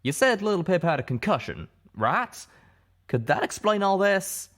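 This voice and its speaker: A man acts out a hot-headed young pegasus cowboy in a storybook tale. The voice is raised high and loud above normal narration. The talk is folksy and insistent. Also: big pitch swings, moderate pace, full character voice. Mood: desperate.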